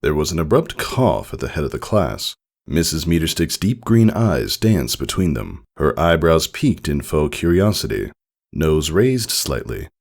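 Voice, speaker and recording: A man reads scripted fiction in a deep, creaky voice. His delivery is measured yet dramatic, rising and falling with very animated intonation. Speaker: fan fiction narrator. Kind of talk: scripted storybook narration.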